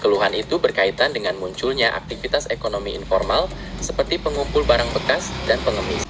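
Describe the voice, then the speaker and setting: A man reads news copy in a steady, formal way at a middling pitch. Faint music plays underneath. The delivery stays even and measured throughout. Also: low-quality recording. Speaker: news narrator. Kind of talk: scripted news narration.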